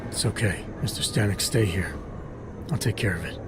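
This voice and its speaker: An actor playing a gruff, stoic video game agent, terse and deadpan with a low, gravelly voice. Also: male voice, normal speed, noisy background, close to an everyday voice. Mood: calm, assured. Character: gruff, stoic augmented male agent, calm and wry. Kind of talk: cinematic video game acting. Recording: dramatic music behind the voice.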